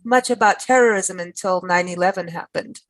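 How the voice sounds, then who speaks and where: A woman's voice: on the low side, a little fast, no echo, poor audio. A woman radio host with an American accent, talking in a chatty, rambling way with frequent restarts and laughs. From South Africa. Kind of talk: casual conversational interview.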